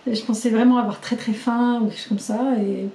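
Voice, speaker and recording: A middle-aged woman talks into the camera at home, keeping a casual video diary. Her voice is fairly high yet mellow, worn slightly hoarse, and she speaks haltingly, full of pauses and fillers. The echoey room rings around her. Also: steady tone, slight background noise. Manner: chatty and halting, many fillers and restarts.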